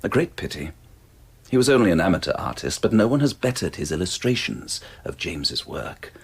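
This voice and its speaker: An actor playing a courteous, enigmatic antiquarian bookseller with a measured, cryptic, old-fashioned politeness, in an audio drama. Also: male voice, low-pitched, very animated, breathy edge, exaggerated voice. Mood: brooding, cryptic.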